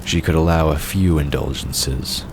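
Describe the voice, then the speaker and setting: A man's voice: extremely low pitch, steady tone, measured pace, background noise. A man narrating a scripted fiction story as the narrator of an audio drama.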